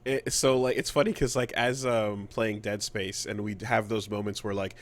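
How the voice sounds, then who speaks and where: A man's voice: lowish voice, fairly even intonation, normal speed. A man co-hosting a video game podcast, chatting casually and acting out what he describes. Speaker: podcast co-host.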